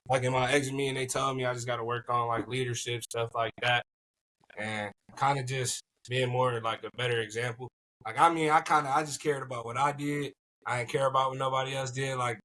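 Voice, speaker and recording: A young college football player from Cleveland talking in a relaxed, reflective way, often adding "you know what I'm saying.". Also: male voice, medium pitch, a little hiss or hum, low-quality recording. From Cleveland, Ohio. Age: young adult. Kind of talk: casual podcast chat.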